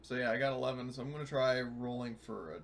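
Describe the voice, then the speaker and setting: Guy chatting at a tabletop rpg, normal pitch, bit of background noise. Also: fairly even intonation, quick pace, vocal fry. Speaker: tabletop role-playing player tallying experience points. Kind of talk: casual game table chat.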